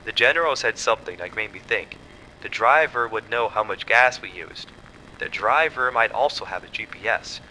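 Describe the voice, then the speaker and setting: Male actor uneasy analyst, lowish pitch, very crisp bright tone, earnest, cautious, explanatory, horror audio drama, faint background rumble. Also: animated, normal speed, low-quality recording. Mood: uneasy, analytical. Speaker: scientist's assistant.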